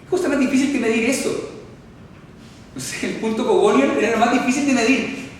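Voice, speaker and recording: A man with a Chilean accent teaches a classroom, explaining points and checking in with his listeners. His voice sits high for a man. The recording is from far off in a large echoey hall with a long reverb tail. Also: a little fast, a little hiss or hum. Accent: Chilean Spanish. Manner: explanatory, checks in often with the audience, chatty asides. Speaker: orthodontics lecturer. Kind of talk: live classroom lecture with audience questions. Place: lecture hall.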